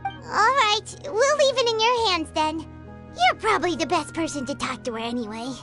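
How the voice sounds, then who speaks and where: A woman voicing a tiny, excitable, childlike fairy companion in a video game, exclaiming and greedy for treats. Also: very high-pitched, moderate pace, soft background music, full character voice. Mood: curious, weary. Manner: refers to self in third person, exclamatory.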